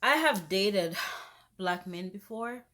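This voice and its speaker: A young woman, vlogging in a casual, animated rant that turns indignant. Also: medium pitch, slow pace. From Zambia. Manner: animated, indignant, rises sharply when exasperated. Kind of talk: casual ranting vlog.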